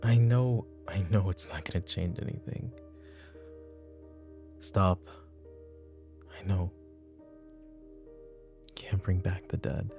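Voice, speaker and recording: Guy doing a tired mob boss dad for asmr roleplay, super deep n gravelly, broody, halting. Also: mellow, faint music behind. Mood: uneasy, brooding. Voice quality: gravelly, low. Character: weary, brooding male mafia boss and protective father. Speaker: voice actor. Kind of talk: roleplay ASMR audio drama.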